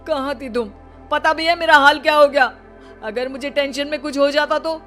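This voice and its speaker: A teasing young husband. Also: female voice, high-pitched, steady tone, normal speed, a bit bright. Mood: worried. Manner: playful, then worried pleading. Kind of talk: cartoon dubbing. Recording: faint music behind.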